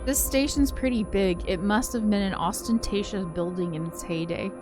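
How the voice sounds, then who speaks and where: Woman narrator, visual novel read-aloud, expressive storytelling, mid-pitched voice, music playing behind. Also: normal speed. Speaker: let's play narrator reading a visual novel. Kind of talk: live read-aloud of a story game, with casual asides. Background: game soundtrack music.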